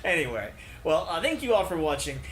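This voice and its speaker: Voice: medium pitch, very animated, a little fast, slight background noise. A let's player narrating a visual novel and commenting casually, recorded in an echoey room. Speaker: video game let's player. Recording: echoey room under loud music. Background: loud instrumental music with guitar.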